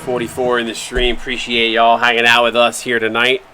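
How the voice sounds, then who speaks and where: A man's voice: mid-range voice, monotone, brisk, bright, forceful tone, a little hiss or hum. A man calling a simulated basketball game on a live stream, upbeat and thanking viewers by name between plays. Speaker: play-by-play broadcaster for a simulated basketball league stream.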